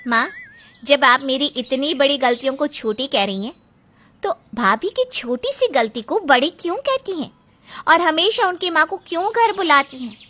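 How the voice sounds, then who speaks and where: महिला कलाकार की बहुत ऊँची, उलाहना देती पारिवारिक आवाज़. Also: female voice, high voice, animated, normal speed, bright, forceful tone. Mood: earnest confrontation. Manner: reproachful, questioning. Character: earnest, reproachful woman in family drama story. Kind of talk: dramatized moral story acting.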